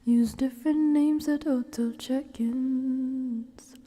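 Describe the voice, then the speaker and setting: A woman live streamer with a soft, gentle manner, drifting between casual ASMR chat and soft singing. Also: sits high, fairly even intonation, slow pace.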